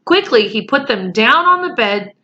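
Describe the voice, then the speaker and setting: A woman reading a children's book aloud with chatty, expressive asides to young listeners. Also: fairly high pitch, normal speed. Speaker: storyteller, teacher. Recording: slightly muffled home recording.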